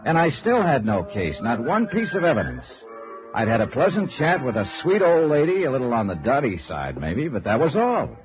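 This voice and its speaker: A man's voice: medium pitch, wildly swooping pitch, moderate pace. A man playing a cool, wry American insurance investigator in 1950s noir radio, with a clipped, dry, understated manner. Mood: weary, brooding. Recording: dull vintage radio recording with music.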